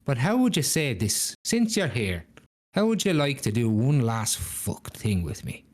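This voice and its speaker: A man's voice: medium pitch, big pitch swings, measured pace. A man voicing a sly, stingy drunkard with an Irish accent, chatty and wheedling, in a comic told story. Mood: coaxing. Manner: wheedling, chatty, roundabout, cheeky.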